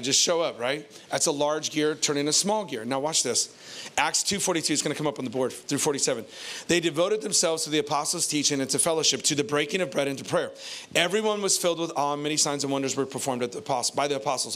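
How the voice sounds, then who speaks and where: A man in his forties with an American accent preaching an informal, animated, conversational sermon into a handheld microphone on a church stage. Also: a little high. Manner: conversational, animated, colloquial, repeats phrases for emphasis. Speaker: pastor.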